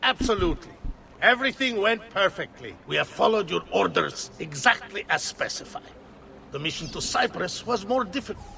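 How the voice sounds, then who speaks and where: A man's voice: high voice, expressive, moderate pace, gravelly, noisy background. An actor playing a stern male soldier captain in a game cutscene, giving curt orders in a commanding way. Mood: dutiful. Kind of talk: video game cutscene acting.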